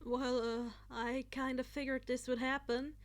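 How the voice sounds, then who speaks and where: Storybook role: nervous, thoughtful schoolboy, played by a woman, high voice, hesitant. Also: little pitch movement, unhurried, a little hiss or hum. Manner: hesitant, rambling. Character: nervous, modest, thoughtful teenage boy.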